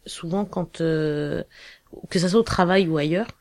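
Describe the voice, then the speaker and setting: A woman from Cameroon giving a casual personal testimony, halting, with many fillers and restarts. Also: on the low side, a little mellow, no room sound. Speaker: interviewee.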